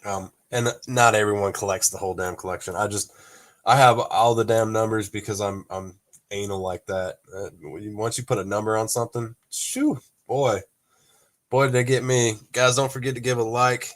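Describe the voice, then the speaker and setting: Casual stream host, man, slightly low and congested, loose and chatty with mild swearing. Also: fairly even intonation, normal speed, a bit bright. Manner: rambling, laid-back, laughs often, mild cursing. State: congested from allergies, coughing. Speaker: toy collector livestream host. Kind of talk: casual livestream chat with viewers.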